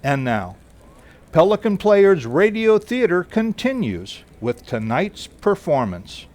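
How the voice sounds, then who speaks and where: A man's voice: sits high, sing-song, takes it slowly, darkish tone. A man acting as announcer, reading a scripted announcement for a theater program.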